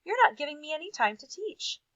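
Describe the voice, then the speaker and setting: A woman's voice: high voice, sing-song, a little fast, fairly bright tone, no echo, highs cut off, pitched above the performer's own voice. A woman voicing an indignant, exasperated schoolteacher in a children's storybook, plaintive and emphatic. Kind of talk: children's storybook read-aloud.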